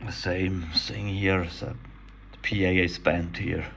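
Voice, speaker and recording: A man with a non-native English accent casually narrating a hands-on demonstration as a radio hobbyist, speaking haltingly in short, simple phrases. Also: deep voice, flat delivery, creaky, a bit bright, slight background noise. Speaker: radio repair hobbyist presenting.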